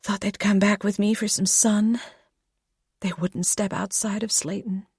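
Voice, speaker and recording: A woman's voice: medium pitch, little pitch movement, a little slow, a little mellow, breathy edge, no room sound, clean studio sound, pitched above the performer's own voice. Woman voicing a weary grieving American daughter. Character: grieving adult daughter, guarded and bitter.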